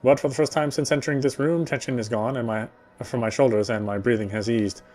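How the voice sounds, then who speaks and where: A man's voice: medium pitch, fairly even intonation, quick pace, a little mellow. A man reading a visual novel's story text aloud as he plays, sometimes stumbling and rereading phrases. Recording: faint game music behind.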